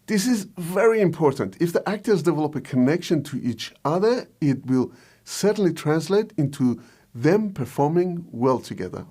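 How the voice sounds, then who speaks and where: A man's voice: on the high side, expressive, normal speed, dark tone, breathy edge. A man presenting a scripted explainer as a video host and filmmaker. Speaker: video host, short film director.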